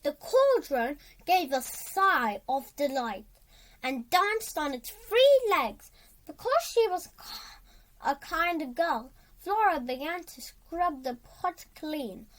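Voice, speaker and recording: High, animated young storybook reader. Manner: halting, stumbles and rereads words. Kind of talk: storybook read aloud.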